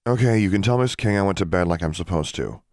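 Man reading as a bold girl, low-voiced. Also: fast talker, a little mellow, no echo, studio quality, natural voice. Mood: matter-of-fact. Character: young girl, stubborn, bold, plain-spoken.

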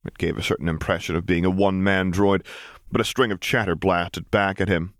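A man's voice: low-pitched, fairly even intonation, brisk, no echo, clean studio sound. A man reading a story aloud as scripted audiobook narration. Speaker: audiobook reader.

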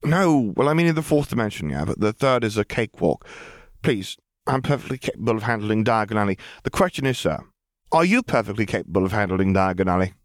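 A man's voice: on the low side, animated, a little fast, no room sound, studio quality, natural voice. A man voicing a brash, precocious small girl in a storybook reading, talking in a wordy, dramatic, childish yet adult way. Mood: frustrated, challenging.

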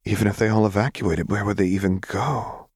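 A man voicing a clever, earnest young woman in an audiobook, soft-spoken and questioning. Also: lowish voice, fairly even intonation, a little fast, slightly rough, dry recording, studio quality. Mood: troubled.

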